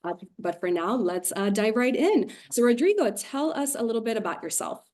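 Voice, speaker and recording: A woman's voice: fairly high pitch, expressive, brisk, darkish tone. A woman hosting a webinar interview on a video call, friendly, with a partly scripted intro and some "uh" fillers. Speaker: host, community manager.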